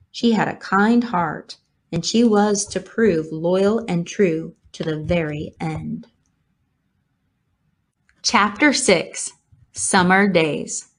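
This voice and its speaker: Female storybook reader, mid-pitched, measured and expressive, narrating a children's novel.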